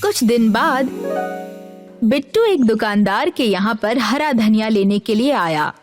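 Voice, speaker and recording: A woman narrating a cartoon story in an expressive storytelling manner. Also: fairly high pitch, unhurried, soft background music.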